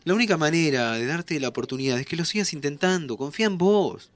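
A man's voice: on the high side, animated, fast, no echo, natural voice. An actor playing a joking, encouraging young man from an audio drama, with a Rioplatense Argentine accent and a teasing, colloquial way of talking. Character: joking, encouraging young man, dead friend visiting the future. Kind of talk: naturalistic audio drama acting.

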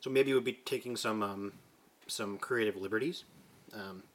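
A man's voice: fairly low pitch, normal speed, a little hiss or hum. A man in his twenties co-hosting a podcast, chatting casually and rambling with lots of "like". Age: 20s. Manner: laid-back, rambling with frequent "like". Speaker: podcast co-host. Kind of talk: casual chat.

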